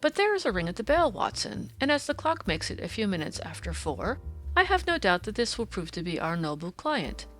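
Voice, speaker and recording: Storybook role: self-assured gentleman sleuth, suave, inquisitive, precise, expressive range performed by a female reader. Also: medium pitch, a little fast, soft background music. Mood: brisk, intrigued. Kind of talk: audiobook character dialogue.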